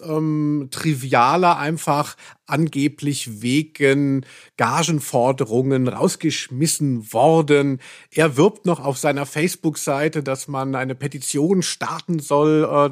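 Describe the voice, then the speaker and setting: A man co-hosting a podcast, chatting casually. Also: on the high side. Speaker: podcast host. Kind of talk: casual chat about audio dramas.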